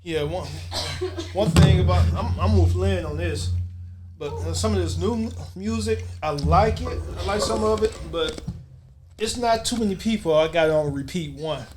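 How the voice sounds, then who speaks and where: A man's voice: high-pitched, moderate pace, heavy background noise. A man chatting casually as part of a panel discussion.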